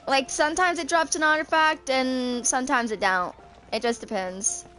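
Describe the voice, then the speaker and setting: A young woman in her twenties with a fairly high voice talks casually over a video game. She rambles, thinking aloud as she goes, with music playing behind her. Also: normal speed, a bit bright, poor audio. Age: 20s. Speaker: gaming streamer. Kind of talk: casual gameplay commentary.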